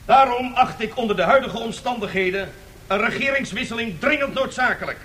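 A woman's voice: on the high side, brisk, fairly bright tone, natural voice. A woman playing a stern, righteous opposition politician, declaiming a formal accusing speech in a radio drama.

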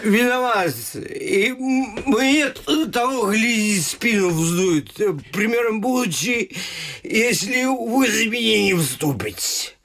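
High, creaky drunken manservant. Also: male voice, animated, fairly bright tone, a little hiss or hum, exaggerated voice. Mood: apologetic, tipsy. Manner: slurred, halting, repetitive tipsy speech. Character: drunken, servile, fearful old-time Russian manservant. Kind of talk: theatrical radio comedy acting.

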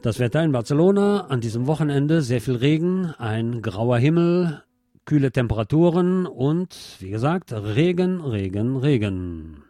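A man's voice: medium pitch, animated, unhurried, warm tone, dry recording. A man presenting scripted local radio news with dry, wry asides. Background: plucked guitar music.

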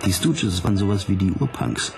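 A hard-boiled male investigator in a radio drama, telling his case in a very low voice. He sounds suspicious and wry, with a deliberate, sardonic delivery. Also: expressive, music in the background. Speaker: narrator. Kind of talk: scripted radio drama narration.

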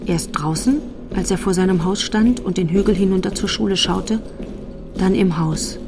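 A woman narrating a radio drama from a script with a measured, literary delivery. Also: medium pitch. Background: music.

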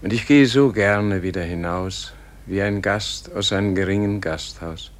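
Very slow, low-voiced dying sage. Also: male voice. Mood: weary pleading. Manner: slow, contemplative, gentle. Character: dying Gandhi, serene weary man pleading for quiet. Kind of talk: solemn literary radio play acting.

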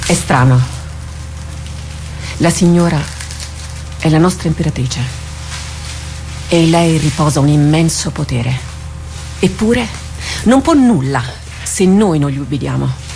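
An actress playing a proud, seasoned woman warrior captain in a radio drama, wry and resolute, speaking formally and deliberately. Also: deep voice, lively intonation, vocal fry, a little mellow, full character voice. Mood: brooding. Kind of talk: theatrical radio drama acting. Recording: studio radio drama with music underneath.

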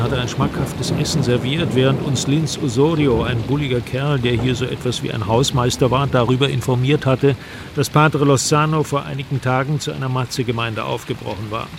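A man's voice: medium pitch, steady tone, normal speed, vocal fry, noisy background. A man reading scripted first-person travel narration for a radio drama.

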